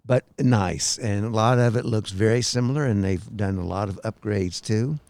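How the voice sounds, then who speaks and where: A gravelly man in his sixties chats with his podcast partner, keeping the talk moving with questions and asides. His voice sits low and rough, recorded dry out in the open. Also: moderate pace, a little mellow, no room sound. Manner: chatty, prompts his partner. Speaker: podcast co-host. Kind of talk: rambling casual chat. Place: outdoors on a lakeside tower.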